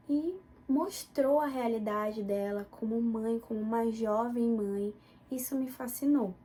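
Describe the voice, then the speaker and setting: Mellow young Brazilian woman, slightly high voice. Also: a little hiss or hum. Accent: northern Brazilian Portuguese, Belém. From Belém, Pará, northern Brazil. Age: young adult. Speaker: student presenter. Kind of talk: academic presentation, personal account.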